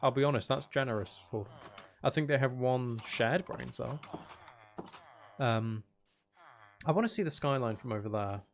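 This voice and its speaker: A man's voice: medium pitch, moderate pace, creaky, long pauses, slight background noise. A man giving casual, unscripted gameplay commentary as a video host, thinking aloud and trailing off mid-sentence.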